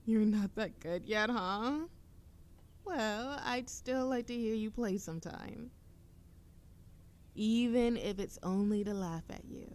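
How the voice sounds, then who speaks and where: Slow-talking, teasing young woman played by an actress. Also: mid-range voice, darkish tone. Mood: playful, curious. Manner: playful, teasing, breathy laughs and drawn-out phrases. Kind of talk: intimate roleplay audio acting, one-sided dialogue.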